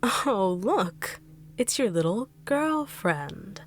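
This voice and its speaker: A woman voicing a greedy bodyguard turned villain who taunts with cold, quiet menace. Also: medium pitch, very animated, extremely slow, heavily acted. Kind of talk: audio roleplay acting.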